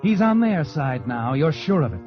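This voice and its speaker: An actor playing a sinister, all-knowing American storyteller, narrating a 1940s radio mystery in a dramatic, insinuating way. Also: male voice, fairly high pitch, sing-song, normal speed. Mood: ominous, insinuating. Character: sinister, taunting all-knowing male storyteller addressing the heroine. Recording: muffled vintage radio recording. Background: dramatic music.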